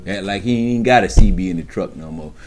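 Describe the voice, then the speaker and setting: A man's voice: low voice, a little fast, faint music behind. A man talking informally as a trucker giving advice to newcomers, emphatic and streetwise, with frequent check-ins to his listeners. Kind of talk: informal instructional monologue to camera.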